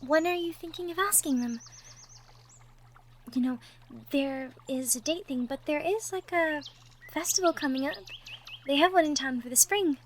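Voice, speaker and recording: A woman playing a shy, sweet young cottagecore woman in love, speaking softly and hesitantly in an intimate roleplay audio drama. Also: high voice, moderate pace, lightly acted. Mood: encouraging, tender. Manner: hesitant, bashful, soft-spoken, trailing off. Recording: faint background noise, birdsong effects. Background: birdsong, outdoor nature sound effects.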